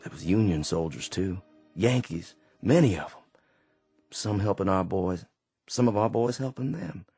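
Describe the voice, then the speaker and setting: An actor playing a weary, quiet Confederate sergeant in a radio drama, speaking slowly and earnestly and often repeating words as he searches for them. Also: low-pitched, lively intonation, exaggerated voice. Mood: musing. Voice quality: gravelly. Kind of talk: old-time radio drama acting. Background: faint underscore music.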